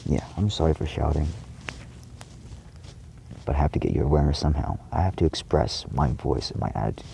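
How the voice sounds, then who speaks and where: A man giving an impassioned, indignant monologue to viewers, emphatic and repetitive, with a gravelly, husky voice. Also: very deep voice, lively intonation, brisk, dark tone, a little hiss or hum, low-quality recording. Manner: frequent swearing. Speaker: video creator addressing viewers.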